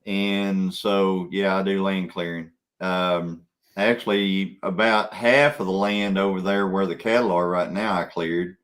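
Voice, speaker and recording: A man's voice: low voice, steady tone, measured pace, a bit bright. A man hosting a casual chat, laid-back as he answers viewer questions. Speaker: host.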